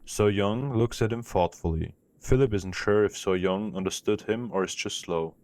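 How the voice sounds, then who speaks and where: A male narrator with a deep, low voice. He reads scripted audio drama narration, telling the story in the third person. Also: normal speed, darkish tone, dry recording.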